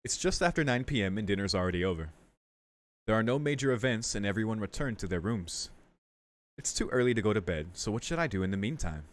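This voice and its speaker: Low-voiced young man doing casual game commentary. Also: animated, quick pace. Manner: informal, musing, thinking aloud. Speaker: video game streamer. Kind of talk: casual playthrough commentary mixed with reading game text aloud.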